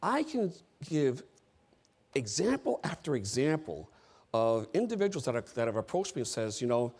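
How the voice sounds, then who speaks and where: A man's voice: medium pitch, sing-song, moderate pace, a little mellow, husky. A middle-aged man from the United States giving an emphatic seminar talk on board service, repeating his key phrases.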